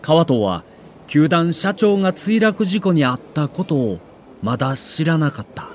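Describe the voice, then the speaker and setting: A man narrating a scripted documentary in a dramatic, measured storytelling manner. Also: a little high, big pitch swings, slight background noise.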